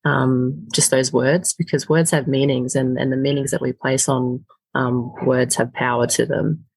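A woman speaks in a husky voice with a gravelly edge. She talks in a halting, reflective way, filling gaps with soft pauses. The sound is close and dry with no room around it. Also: rumbling low, steady tone, no echo, low-quality recording. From Australia. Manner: thoughtful, halting, with many filler pauses. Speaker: actor interviewed as a guest. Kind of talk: casual reflective interview talk.

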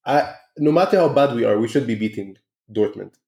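Excitable man with a mellow voice, echoey room. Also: medium pitch, fairly quick, gravelly. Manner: excitable, joking banter. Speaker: podcast co-host. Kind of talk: casual podcast chat.

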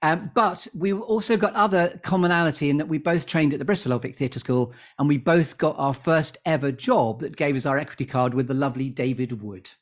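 A man hosts an interview podcast with a slightly high voice. He is effusive and playful, fond of wordplay, and addresses his guest like a showman introducing an act. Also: brisk, hardly any pauses. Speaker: podcast host. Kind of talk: interview with a scripted-sounding show intro.

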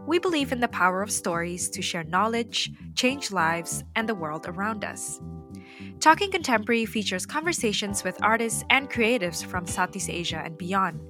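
A woman from Indonesia hosting a podcast, reading a measured, reflective introduction and then chatting casually with a guest. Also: mid-range voice. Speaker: podcast host.

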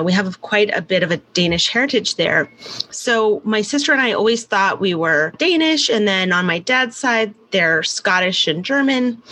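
Woman interview guest, bright and clear tone, rambly conversational delivery with many fillers. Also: medium pitch. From born in Canada, raised in California. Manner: chatty, rambling with frequent fillers.

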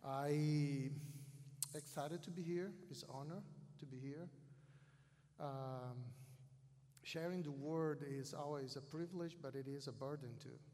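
A man with a South American accent, a non-native English speaker, preaching as a guest missionary in a halting way, repeating phrases for emphasis. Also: fairly high pitch, fairly even intonation, mellow. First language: not English. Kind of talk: sermon. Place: large hall or church, speaking to an audience. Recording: long hall reverb over PA system.

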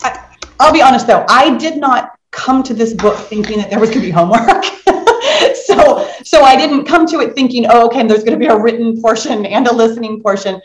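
A woman hosting a casual live book discussion, talking in an animated, conversational way and inviting the group to join in. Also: fairly high pitch, brisk. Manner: animated, conversational, encouraging audience participation. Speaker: book club host. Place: indoor room on a group video call. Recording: roomy echo.

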